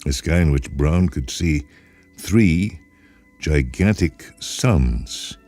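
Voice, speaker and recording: A man's voice: very deep voice, expressive, slow, a little mellow. A man reading a speculative short story as a dramatic, scripted narration. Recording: music behind the voice.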